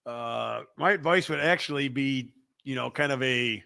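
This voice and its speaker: A man with a Chicago accent hosting a live show, loud and profane, bantering and reading out the chat. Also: mid-range voice, normal speed, gravelly. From Midwestern United States. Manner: loud, rowdy and profane, with bursts of laughter.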